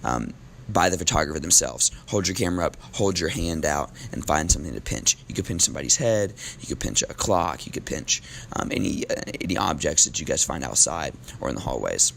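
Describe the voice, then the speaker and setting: A man teaching a recorded classroom lesson while losing his voice, talking in a relaxed, casual way with frequent ums. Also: extremely low pitch, fairly quick, vocal fry, slight background noise.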